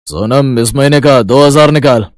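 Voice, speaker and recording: A man's voice: mid-range voice, moderate pace, a little hiss or hum, close to an everyday voice. A man voicing a threatening, domineering village thug in a cartoon story. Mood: menacing. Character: menacing village thug extorting money.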